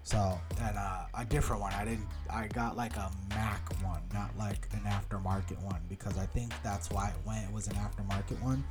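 A man's voice: on the low side, brisk, hardly any pauses, over music. A man in his thirties with an American accent, telling stories as a podcast co-host in casual chat, with many restarts. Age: 30s. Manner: rambling, animated storytelling with many restarts.

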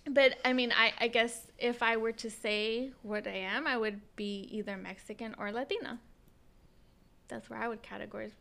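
A woman co-hosting a casual podcast, chatty and quick to laugh, with many fillers. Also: fairly high pitch, creaky, a bit bright. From United States. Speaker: podcast co-host. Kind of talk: casual conversational chat.

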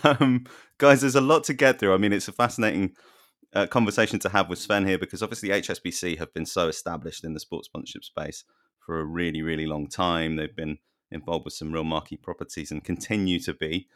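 A man's voice: deep voice, steady tone, a little fast, a bit bright, no echo, studio quality. A man hosting an interview, introducing guests and asking questions in a friendly, chatty way. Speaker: interview host.

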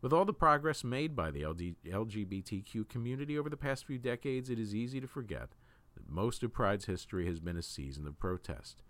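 Guy with a low voice reading op-eds for a news show, measured and deliberate. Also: expressive, a bit bright. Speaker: host reading news and opinion pieces. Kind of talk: read-aloud of written opinion articles.

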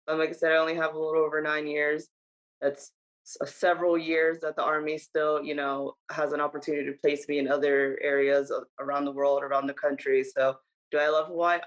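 A woman in her twenties, a soldier answering interview questions in an earnest, steady way, often repeating key phrases. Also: low voice, rough recording. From Dominican Republic, raised in New Jersey. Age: 20s.

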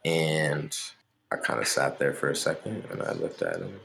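A man's voice: rumbling low, very animated, normal speed, creaky, a bit bright. A young man from Maryland, a musician, talking casually in an interview in a laid-back, rambling way with lots of fillers. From Maryland, USA.

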